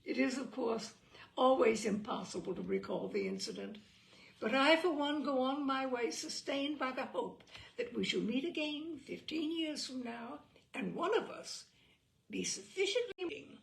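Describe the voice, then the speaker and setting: A woman host reading a humorous literary essay aloud with theatrical, deliberate, carefully phrased delivery. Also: high voice, very animated, gravelly. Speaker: host and reader. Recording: roomy echo, distant microphone.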